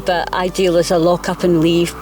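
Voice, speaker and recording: A woman's voice: lowish voice, level tone, moderate pace, darkish tone, distant mic. Scottish woman, estate agent, chatty and unpolished, recorded outdoors off-mic with music under. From Scotland. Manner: chatty, with frequent fillers and restarts. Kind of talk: outdoor video interview. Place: outdoors on a hillside above a lake.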